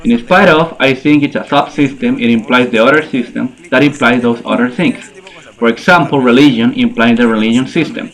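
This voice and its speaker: A man's voice: mid-range voice, normal speed, fairly bright tone, poor audio. Hesitant man answering an interview. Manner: hesitant, searching for words. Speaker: interviewee, archaeologist. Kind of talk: answering interview questions in English.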